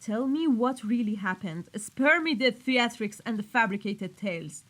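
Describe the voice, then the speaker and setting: A woman's voice: a little high, lively intonation, moderate pace, a bit bright. A woman playing a cold, commanding mafia boss in a scripted audio roleplay, speaking sternly and accusingly. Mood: scornful. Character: cold, menacing female mafia boss dealing in weapons.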